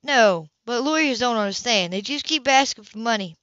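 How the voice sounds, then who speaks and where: A woman's voice: fairly high pitch, animated, normal speed, a bit bright, dry recording, voice pushed up. A woman reader plays a hard-bitten, manipulative woman held by police in a storybook drama. She pleads with self-pity and an accusing edge. The recording is dry and slightly dull. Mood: aggrieved. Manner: pleading, self-pitying, turning to angry accusation. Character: tearful, manipulative, hard-bitten older sister under arrest.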